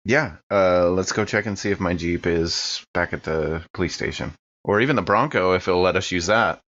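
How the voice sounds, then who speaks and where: Male American tabletop player, deep register, casual conversational delivery, slightly muffled recording. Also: normal speed, a bit bright. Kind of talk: casual in-game chat.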